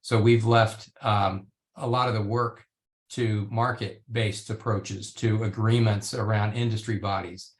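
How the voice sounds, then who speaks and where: A man who grew up in Belgium and Italy, speaking as a panelist on a video call in a measured way with many fillers and restarts. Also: on the low side, level tone. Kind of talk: virtual panel discussion.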